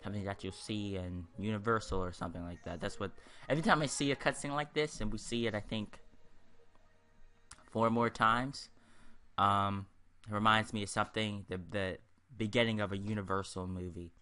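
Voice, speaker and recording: A man with a fairly low but bright, forceful voice hosts a casual game walkthrough. He rambles as he comments, hesitating and repeating himself as he works toward each point. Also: moderate pace, smooth. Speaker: gaming video host.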